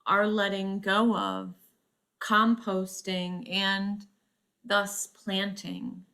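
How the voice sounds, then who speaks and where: Very slow-paced woman guiding meditation. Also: mid-range voice, a bit bright. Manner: measured, pausing often mid-phrase. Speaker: yoga nidra teacher.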